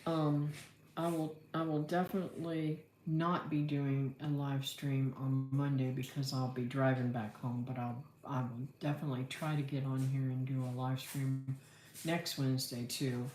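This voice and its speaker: A woman's voice: a bass voice, level tone, normal speed, darkish tone. A middle-aged American woman chatting casually on a livestream, rambling and thinking aloud in a folksy way as she answers viewers. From Florida, United States. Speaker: livestream host, homesteader. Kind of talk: casual livestream chat, reading and answering comments. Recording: roomy echo, faint background noise.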